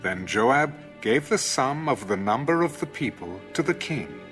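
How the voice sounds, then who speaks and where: A man narrating scripture as a scripted audio Bible, in a measured storytelling manner. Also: mid-range voice, sing-song, a bit bright, faint music behind.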